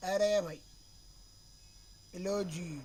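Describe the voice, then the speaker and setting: A man from Mumbai streaming a cricket video game, slightly hoarse from a sore throat, thinking aloud and calling his viewers "bro" in nearly every phrase. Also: high-pitched, fairly even intonation, extremely slow, darkish tone, slight background noise. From Mumbai, India. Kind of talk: live gameplay stream chat.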